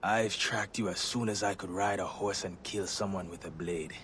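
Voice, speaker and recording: An actor playing a hard, vengeful warrior and hunter in a video game. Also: male voice, deep voice, steady tone, normal speed, a bit bright, slight background noise. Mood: grim. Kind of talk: dramatic video game acting.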